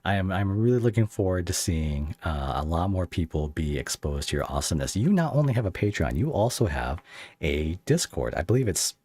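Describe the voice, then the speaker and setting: A man's voice: low-pitched, sing-song, fairly quick, darkish tone. A man hosting a casual online podcast interview, talking in an appreciative, complimentary way as he addresses his guest and listeners. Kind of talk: casual online interview before a live chat. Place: online voice call.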